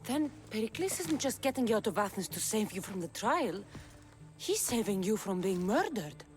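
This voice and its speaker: A woman's voice: medium pitch, very animated, normal speed, faint music behind, close to an everyday voice. An actress playing a bold, blunt female mercenary in a video game, speaking firmly and with command. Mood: resolute. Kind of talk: video game cutscene acting.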